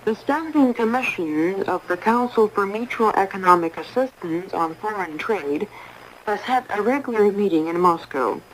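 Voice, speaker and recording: A woman reading a formal scripted radio news bulletin in a measured, impersonal way, on a 1980s shortwave broadcast. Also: medium pitch, lively intonation, slight background noise, low-quality recording. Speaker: newsreader.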